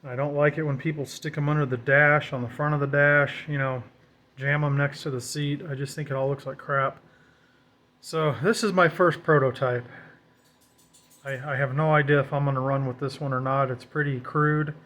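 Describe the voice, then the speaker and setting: A man's voice: on the high side, little pitch movement, moderate pace, a bit bright. An American man talking casually and off the cuff as he shows a homemade project, in a folksy, self-deprecating way. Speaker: DIY vlogger.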